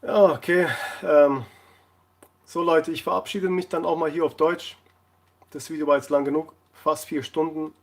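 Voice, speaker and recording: A man from Portugal, chatting casually on a live stream in a jokey way, often halting to search for words. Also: fairly high pitch, fairly even intonation. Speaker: live streamer, console repair video maker.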